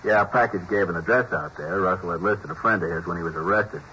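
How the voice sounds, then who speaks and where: An actor playing a police detective sergeant who briefs his colleagues in a brisk, businesslike way, from a 1950s radio drama. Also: low-pitched, sharp tone. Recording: dull, hissy old radio broadcast.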